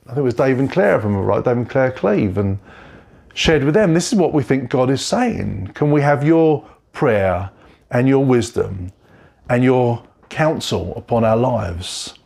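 A pastor, telling his story in a reflective, halting way and often correcting himself. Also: medium pitch, expressive, gravelly, a little mellow. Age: 40s. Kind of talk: personal testimony sermon.

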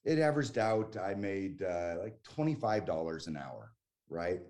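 A talkative American man in his forties, with a somewhat low voice, speaking casually and emphatically. He keeps circling back and restarting his sentences as he makes his point. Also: sing-song, a little slow, a little mellow. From United States. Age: 40s. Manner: chatty and emphatic, with frequent restarts. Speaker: guest. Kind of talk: casual conversation.

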